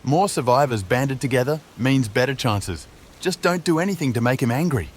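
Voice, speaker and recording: A man voicing a tall, gentle crooked man who talks in a measured, dryly joking way. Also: mid-range voice, animated, a little hiss or hum, pitched above the performer's own voice, lightly acted. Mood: jovial.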